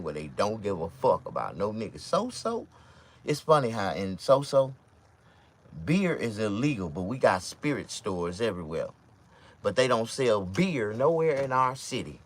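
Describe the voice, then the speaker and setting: A man around fifty with a Mississippi accent, talking to camera in a loose, profane, rambling monologue with sudden loud outbursts. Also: fairly low pitch, big pitch swings, normal speed. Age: 50s. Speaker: vlogger and stand-up comedian.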